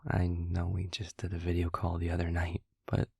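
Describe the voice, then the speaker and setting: Man acting as a gravelly, low-voiced lovesick boyfriend. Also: completely flat delivery, dry recording, clean studio sound, heavily acted. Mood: tender, wistful. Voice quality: gravelly, husky, breathy. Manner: soft, halting, affectionate. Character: lovesick man leaving a tender voicemail for faraway partner. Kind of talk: intimate audio roleplay acting.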